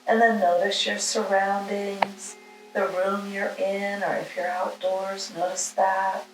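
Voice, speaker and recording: Very slow, soothing woman leading meditation. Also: medium pitch, flat delivery. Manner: soothing, gentle instruction in short, spaced phrases. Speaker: guided meditation leader. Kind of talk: guided meditation, spoken slowly with long pauses. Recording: roomy, distant mic, music underneath.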